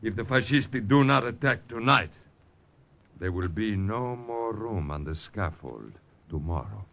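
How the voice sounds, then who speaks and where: An actor playing a hard, suspicious Italian partisan leader, menacing and commanding, in old radio drama style. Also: male voice, lowish voice, lively intonation, measured pace, creaky, noisy background. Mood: commanding, angry. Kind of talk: 1940s-50s radio acting. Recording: old-time radio broadcast recording.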